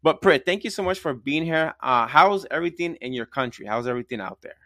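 A man's voice: fairly high pitch, animated, fast talker, fairly bright tone, no echo, clean studio sound. A man hosting a live interview podcast, speaking enthusiastically and emphatically, repeating himself and checking in with tag questions. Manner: repetitive, emphatic, often tags phrases with "right?". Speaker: podcast host.

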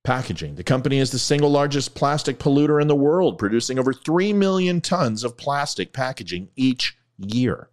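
A man's voice: medium pitch, lively intonation, normal speed, few pauses. A man reading out facts in a commentary video, adding offhand jokey asides and stumbling over foreign names.

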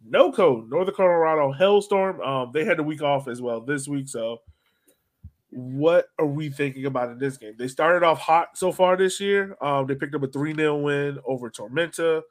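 A man hosting a sports podcast, chatting casually and putting questions to his co-hosts in a conversational way. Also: fairly high pitch. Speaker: podcast host. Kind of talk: casual soccer podcast chat.

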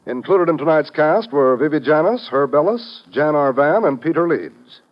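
A man's voice: fairly high pitch, lively intonation, moderate pace. A man announcing a 1950s radio show, reading scripted promos and credits. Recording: muffled old radio broadcast, faint music.

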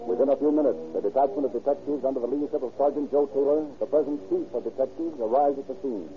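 A man's voice: mid-range voice, fast talker, music in the background. An American man narrating from a script as a formal, dramatic 1930s radio announcer. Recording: tinny 1930s radio recording.

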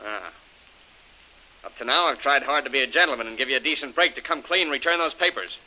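A man's voice: medium pitch, fast talker, sharp tone, low-quality recording. An actor playing a menacing gang boss with sneering tough-guy threats, in an old-time radio adventure serial. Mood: cold menace. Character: menacing male gang boss bullying a captive woman. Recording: hissy vintage radio recording.

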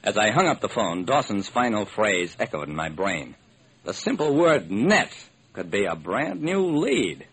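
Casting: hardboiled American gumshoe narrator, wry, animated pitch swings, vintage radio sound with steady noise. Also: male voice, a little slow, exaggerated voice. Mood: wry, intent. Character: male private detective narrating his own case, wry and hardboiled. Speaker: narrator and lead actor. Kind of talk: old-time radio detective drama narration. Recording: noisy old radio recording.

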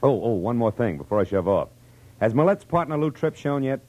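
A man's voice: mid-range voice, sing-song, brisk, a little mellow. A man playing a tough, wry American private detective in 1940s radio noir style, with clipped, slangy delivery. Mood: urgent, brisk. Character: hard-boiled male private detective, tough, wry, world-weary. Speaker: detective narrator and lead. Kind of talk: 1940s radio noir acting and first-person narration. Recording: noisy vintage radio recording.

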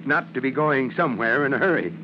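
A man's voice: medium pitch, fast, natural voice. An actor playing a genial, authoritative fire chief in an old-time radio drama, courteous to his guest. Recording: muffled, noisy old radio recording.

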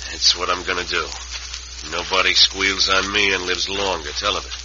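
A man's voice: sits low, flat delivery, moderate pace, natural voice. A man playing a cold-blooded, gloating outlaw boss with an American Western accent and menacing folksy talk, in an old radio western. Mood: callous. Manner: menacing, folksy rough grammar. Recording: dull, noisy old radio recording.

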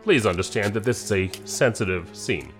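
A man's voice: fairly low pitch, normal speed, a bit bright, soft background music, close to an everyday voice. A man playing a courteous, authoritative federal special agent in an audio drama. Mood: courteous, commanding.

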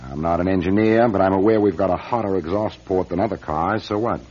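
An actor playing a skeptical, impatient corporate executive in a radio drama, dry, curt and dismissive. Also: male voice, low-pitched, a little fast, a little mellow. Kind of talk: 1970s-style radio drama acting. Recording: dull lo-fi radio recording.

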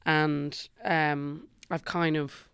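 An Irish theatre performer and playwright chatting reflectively as a podcast guest, moving between soft and lively. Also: medium pitch, drawn-out words, creaky, clear, resonant tone, no echo, clean studio sound. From Ireland. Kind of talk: casual podcast conversation.

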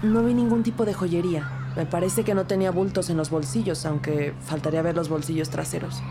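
Actress doing junior lady detective narrating a crime audio drama, lowish voice, music underneath. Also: steady tone, brisk, close to an everyday voice. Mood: composed, inquisitive. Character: junior woman detective narrating her case. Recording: music playing behind the voice.

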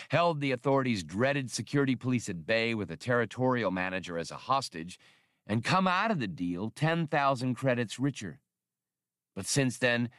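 A man narrating an audiobook in a measured, steady storytelling manner. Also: medium pitch, no room sound, studio quality.

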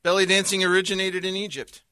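A man's voice: high voice, fast. A man in casual comedic podcast banter, dryly reading out facts and definitions. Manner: dry, reads definitions aloud in a deadpan way. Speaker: podcast co-host.

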